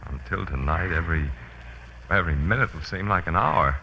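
An actor playing a suave, courteous, secretly sinister romancer in a 1940s radio drama. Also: male voice, deep voice, expressive, fairly quick, vocal fry, bright, forceful tone, full character voice. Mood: ominously romantic. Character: smooth, romancing, secretly sinister male lady-killer. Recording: noisy, dull old radio recording.

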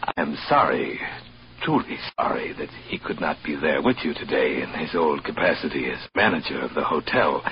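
An actor playing a doomed, formal, halting man in a vintage radio suspense drama. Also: very deep voice, slight background noise. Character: doomed, sardonically formal man making farewell address. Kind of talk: 1940s-50s American radio suspense acting. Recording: dull vintage radio recording.

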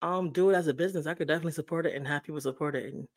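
A young adult woman with a deeper voice, hosting a casual interview in a supportive, easygoing way. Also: rapid-fire. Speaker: host.

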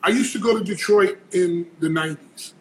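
A man hosting an interview, excitable, jumping in and repeating himself. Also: sits high, moderate pace, slight background noise, poor audio. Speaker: podcast host. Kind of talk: casual interview conversation.

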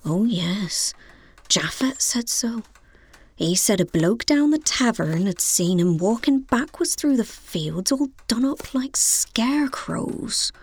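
A woman acting as a scatty, gossipy, naive widow in an audio comedy drama, breathy and rambling with a deadpan delivery. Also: fairly high pitch, slow pace, heavily acted.

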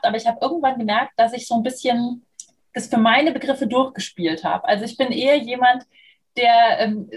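Slightly husky, higher-voiced woman in her thirties. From Munich, southern Germany. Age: 30s. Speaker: interview guest, freelance trainer and moderator. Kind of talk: casual conversational interview.

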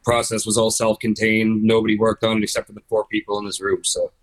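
A man who plays bass in a band, talking earnestly in a casual interview. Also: flat delivery, fast, poor audio.

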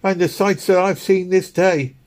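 A man's voice: sits high, fairly even intonation, moderate pace, darkish tone, voice pushed up, natural voice. A man voicing a chatty, easily delighted young working-class London woman with a Cockney accent in an audiobook. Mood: wistful, delighted. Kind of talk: audiobook character dialogue.

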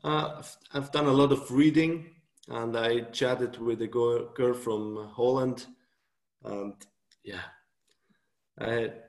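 Creaky-voiced Swiss man in a roomy space. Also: mid-range voice, steady tone, takes it slowly. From Switzerland. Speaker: interview guest, author. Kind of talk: casual conversational interview. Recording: roomy, echoing call audio.

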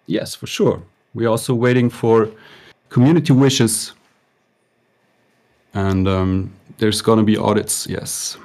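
A man speaking as a guest on a podcast in a soft-spoken way, with slightly non-native phrasing. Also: lowish voice, steady tone, slow, long pauses. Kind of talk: casual podcast chat.

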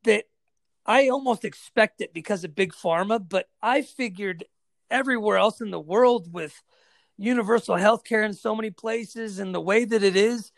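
Gravelly American podcast host, friendly and emphatic, dry close sound. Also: male voice, sits high, normal speed, no echo. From United States. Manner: friendly, emphatic, says "man" and "brother" a lot. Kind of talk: casual interview chat.

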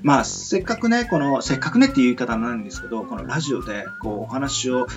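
A male radio host from Kyushu talks in a very animated, swinging voice over faint music. He is polite and wordy, feeling his way through long casual sentences. The sound is a bit dull and lo-fi. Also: medium pitch. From Kyushu, southern Japan. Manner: polite, wordy, halting build-up to questions. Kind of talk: casual chat interview. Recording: muffled lo-fi audio with music behind. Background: background music.

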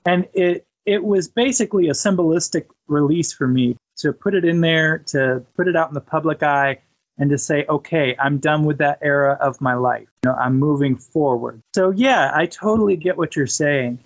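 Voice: mid-range voice, normal speed, lo-fi sound. A podcast host talking conversationally in an interview, reflective and supportive.

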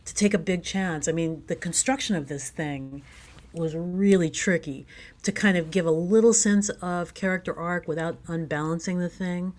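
A woman's voice: on the low side, normal speed, darkish tone. A woman speaking as a playwright guest in a conversational interview, earnest and reflective about her work.

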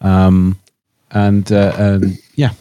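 A man chatting casually on a podcast in a hesitant, halting way, often repeating himself. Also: low-pitched, completely flat delivery, mellow, clear, resonant tone, dry recording, lo-fi sound. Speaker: podcast co-host.

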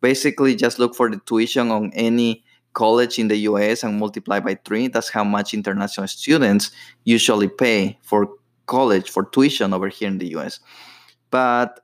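A man's voice: fairly low pitch, fairly even intonation, normal speed. A man in his thirties from Ecuador, speaking English with an Ecuadorian Spanish accent as a podcast host, in a casual solo monologue that rambles with loose phrasing and many fillers.

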